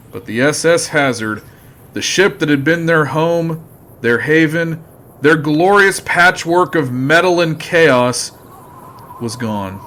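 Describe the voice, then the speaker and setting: A man's voice: a little high, fairly bright tone, heavily acted. A man playing a solemn, theatrical narrator of a sci-fi adventure audio drama.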